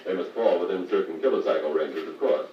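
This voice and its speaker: An American actor playing a patient car radio service instructor, explaining methodically in a 1950s training filmstrip style. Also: male voice, low-pitched, brisk, natural voice. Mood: patient, instructive. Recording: muffled, noisy, echoey 1950 record playback, distant mic.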